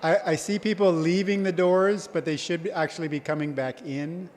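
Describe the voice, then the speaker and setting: A man's voice: on the high side, steady tone, normal speed, a little mellow, some chatter behind. A man emceeing a college convocation, coaxing people back to their seats with light laughs. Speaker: event emcee. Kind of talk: emcee announcements. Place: large hall. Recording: long hall reverb.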